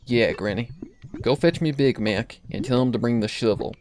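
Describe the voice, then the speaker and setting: Man playing young farm mare, steady, practical, country Southern drawl, mid pitch, storybook reading, faint noise. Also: normal speed, close to an everyday voice. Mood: puzzled, steady. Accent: rural Southern US. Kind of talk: fan fiction character reading.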